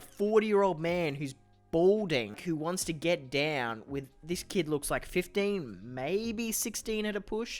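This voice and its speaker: A man hosting a reaction video, commenting casually and jokingly with sarcastic, cheeky asides. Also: fairly high pitch.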